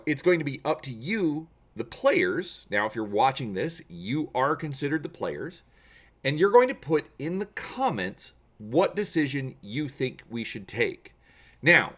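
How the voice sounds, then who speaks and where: A man hosting a gaming channel, explaining to viewers and reading aloud dramatically with expressive emphasis. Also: medium pitch.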